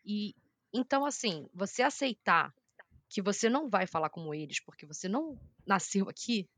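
A woman's voice: mid-range voice, very animated, moderate pace, a bit bright, no echo. A Brazilian woman with a Brazilian Portuguese accent, speaking reflectively in a casual chat.

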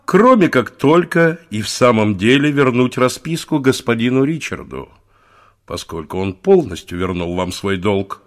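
An actor playing a polite, formal, deferential medieval judge with a courteous, officious manner in an audio play. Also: male voice, medium pitch, expressive, normal speed, lightly acted. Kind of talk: Russian audio play acting.

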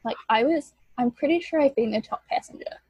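A woman's voice: sits high, brisk, a little mellow, slightly rough, dry recording, dull audio. A young woman in her twenties with a New Zealand accent, chatting casually as a guest on a podcast and filling her speech with "like". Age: 20s.

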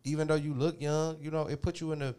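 A man's voice: on the high side, level tone, warm tone, clear, resonant tone. A man musing in slangy casual chat. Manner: musing, slangy, drawn-out thoughts.

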